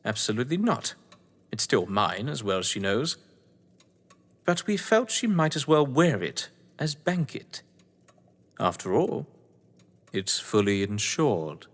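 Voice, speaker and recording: A man's voice: mid-range voice, very animated, unhurried, pauses often, a bit bright, full character voice. Guy acting as smug scheming english novelist, wry and pompous, voice swoops about, big echoey room, audio drama. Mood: sly, conspiratorial. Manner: pompous, wry, florid phrasing. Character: suave, scheming, condescending older English crime novelist, betrayed husband. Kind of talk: theatrical audio drama dialogue.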